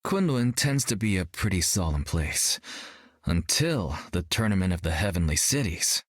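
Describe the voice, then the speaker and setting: A man's voice: low-pitched, very animated, moderate pace, no echo, clean studio sound. A young actor playing a brash, cocky male martial artist in a video game, joking and upbeat. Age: young adult.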